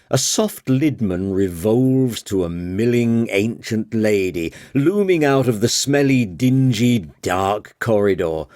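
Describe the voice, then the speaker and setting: Slow male audiobook narrator. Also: lowish voice, a little mellow, no room sound, studio quality. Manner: slow, deliberate, wordy descriptive reading.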